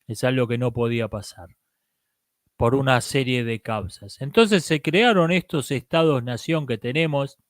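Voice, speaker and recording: Argentine male host, mid-pitched, emphatic casual opinion talk, dry close mic. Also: no echo. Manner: emphatic, repeats himself, halting restarts. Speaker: livestream host answering viewer questions.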